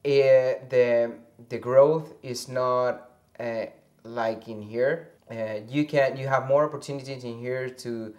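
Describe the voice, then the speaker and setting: A young man from Venezuela with a Venezuelan accent, a native Spanish speaker answering interview questions haltingly, searching for words, on a lapel mic indoors. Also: medium pitch, steady tone, a little mellow, muffled recording. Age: young adult. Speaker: interview guest. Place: indoor room with a fireplace, seated.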